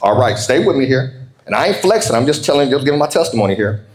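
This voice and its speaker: An American man in his forties giving a motivational keynote, telling stories emphatically with dramatic pauses and colloquial call-outs to his audience. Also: medium pitch, big pitch swings, echoey room. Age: 40s. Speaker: keynote speaker, business coach.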